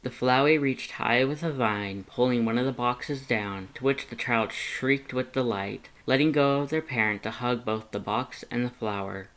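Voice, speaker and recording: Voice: medium pitch, fairly bright tone. A narrator reading a scripted fan fiction story and voicing its characters.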